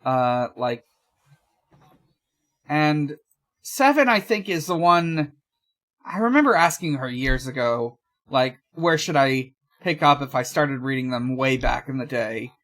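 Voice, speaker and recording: Slow, hesitant podcast host. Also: mid-range voice, expressive, a bit bright. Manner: hesitant, halting, frequent trailing pauses. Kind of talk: casual podcast discussion and recap.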